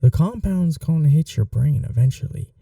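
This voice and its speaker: A man's voice: fairly high pitch, expressive, moderate pace, vocal fry, dark tone, no room sound, recorded from a distance. A young man playing a smug, chatty mad scientist college roommate in an intimate ASMR audio roleplay, coaxing and condescending in a casual way.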